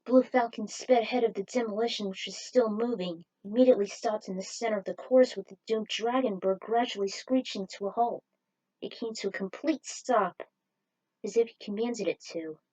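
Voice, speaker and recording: A woman's voice: mid-range voice, dark tone, poor audio. A woman reading fan fiction aloud as a narrator in an amateur storytelling style.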